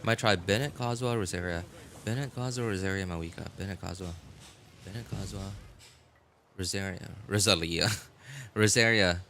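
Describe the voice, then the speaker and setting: A man livestreaming games in casual chat, talking in a halting, rambling way and often repeating himself. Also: low voice, fairly bright tone, a little hiss or hum. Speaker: live game streamer. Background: music.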